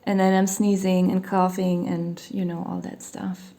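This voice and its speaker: A woman doing a tarot reading who is losing her voice a little and sounds slightly hoarse. She talks in a loose, meandering way with lots of "you know" and small hedges. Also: fairly low pitch, flat delivery, measured pace, dark tone. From Germany. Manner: meandering, hedging, many "you know" fillers. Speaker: tarot reader. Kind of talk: casual tarot reading monologue. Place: home near a hospital, sirens outside.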